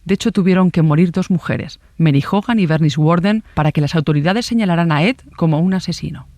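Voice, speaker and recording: A man's voice: fairly high pitch, fast talker, a little mellow, dry recording. A man reading scripted true-crime documentary narration.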